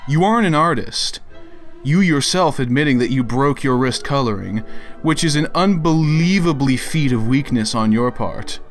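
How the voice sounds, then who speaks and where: A man's voice: mid-range voice, natural voice. A man doing a dramatic reading as a pompous, indignant internet crank with an overly formal, theatrical delivery. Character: pompous, indignant male internet crank issuing grandiose demands. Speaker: narrator of read-out messages. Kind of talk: dramatic reading of written messages.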